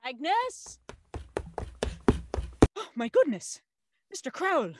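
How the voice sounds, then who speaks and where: An actress playing a secretive housekeeper aunt in an audio drama, stern and dismissive yet anxiously deferential. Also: female voice, high-pitched, sing-song, takes it slowly, heavy background noise, exaggerated voice. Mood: anxious deference.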